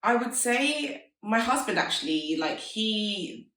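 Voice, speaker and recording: A woman's voice: a little high, big pitch swings, slow pace, fairly bright tone. A woman in her thirties, an entrepreneur talking casually and upbeat in an interview, full of "like"s. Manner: chatty, many filler "like"s, upbeat. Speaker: interview guest, fashion entrepreneur. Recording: roomy echo, mic at a distance.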